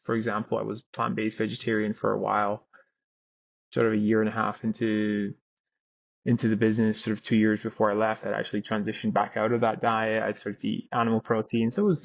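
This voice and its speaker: A man's voice: on the low side, creaky, dull audio. A Scottish man in his thirties, a company founder telling his story in a podcast interview with frequent restarts. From Scotland. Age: 30s.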